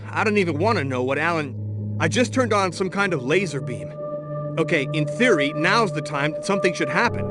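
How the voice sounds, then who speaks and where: An actor playing a wry, gritty male investigator in a video game, sardonic and matter-of-fact. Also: a little high. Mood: frustrated, sarcastic. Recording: echoey reverb, loud music underneath.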